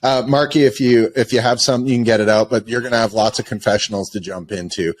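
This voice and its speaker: A Canadian man hosting a podcast in a studio, talking in an energetic, joking, confessional way. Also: mid-range voice, quick pace, dry recording. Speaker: podcast host. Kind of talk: casual podcast chat.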